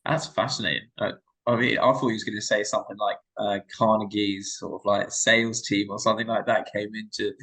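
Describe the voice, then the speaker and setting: A man's voice: on the low side, steady tone, fairly quick, fairly bright tone, slightly rough, rough recording. A man hosting a podcast, upbeat and eager as he introduces and questions his guest. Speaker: podcast host. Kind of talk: podcast interviewing.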